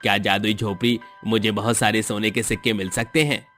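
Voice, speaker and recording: A man's voice: fairly low pitch, flat delivery, brisk, a bit bright, faint music behind, lightly acted. A man voicing a poor, kind-hearted, earnest village father in a dramatised moral story. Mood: earnest pleading.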